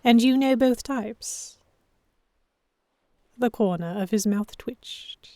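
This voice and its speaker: A woman's voice: fairly high pitch, takes it slowly, a little mellow, dry recording. A woman narrating an audio drama in the first person and acting out the dialogue. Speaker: narrator and lead actor.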